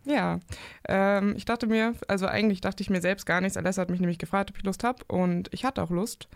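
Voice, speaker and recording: Creaky-voiced woman in her twenties. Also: mid-range voice, steady tone, brisk, no room sound, clean studio sound. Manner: chatty, self-deprecating, many fillers. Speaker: new podcast co-host, student. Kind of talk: casual chat.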